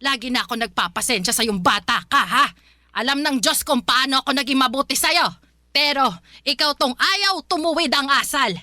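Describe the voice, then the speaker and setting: A woman's voice: high voice, normal speed, pushed and bright, no room sound, studio quality. A woman playing a harsh, strict, religious old grandmother who nags and preaches in a radio drama. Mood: scolding. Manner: scolding, nagging, preachy.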